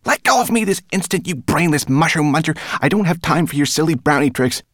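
Man doing a shrill, peevish female storybook rat. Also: wildly swooping pitch, a little slow, vocal fry, pushed and bright, no echo, clean studio sound, heavily acted. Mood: furious snapping. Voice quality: shrill, squeaky. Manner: snappish, hissing.

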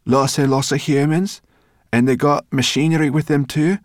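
A man's voice: fairly high pitch, steady tone, normal speed, dry recording, clean studio sound, voice pushed up, close to an everyday voice. A man voicing a cross, grumbling female brownie creature in a storybook reading. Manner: grumbling, scolding.

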